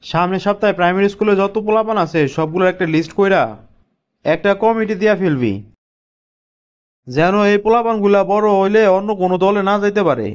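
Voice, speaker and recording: A scheming local party organizer from a cartoon, voiced by a man. He has a fairly high, clear voice and speaks colloquial Bangladeshi in a pushy way. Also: brisk, highs cut off, lightly acted. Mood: bossy. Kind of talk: cartoon dialogue.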